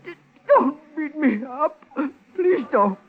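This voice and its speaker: A man's voice: extremely high pitch, expressive, slow pace, exaggerated voice. An actor in a 1950s radio drama playing a timid, desperate little man who stammers and pleads. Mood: tearful terror. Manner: stammering, pleading, repeating himself. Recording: tinny, hissy 1950s radio recording.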